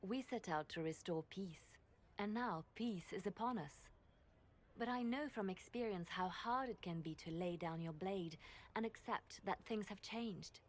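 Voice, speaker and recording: A woman playing a wistful, shrewd court diplomat in a video game, solemn, poetic and measured. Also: mid-range voice, close to an everyday voice. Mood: grave, gentle.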